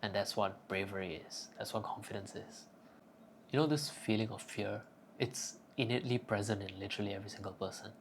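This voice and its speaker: A young man talks straight to camera with cocky, crude humor, handing out blunt advice. His voice sits low and carries a gravelly fry. Faint noise hums underneath. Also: a little fast. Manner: cocky, profane, joking bravado. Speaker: self-improvement vlogger. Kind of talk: casual crude advice monologue.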